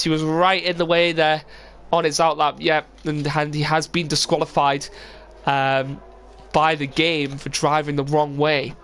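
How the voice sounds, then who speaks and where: A man's voice: fairly high pitch, fairly bright tone. A young man with a cold, commentating a sim racing stream casually with lots of fillers. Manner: casual, rambling with many fillers. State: full of cold, sniffly. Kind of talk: live stream race commentary.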